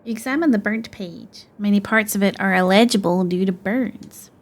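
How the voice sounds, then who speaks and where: Expressive young woman gamer, mid-pitched. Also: normal speed. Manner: expressive, casual reactions. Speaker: gaming streamer. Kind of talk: playthrough commentary, reading game text aloud.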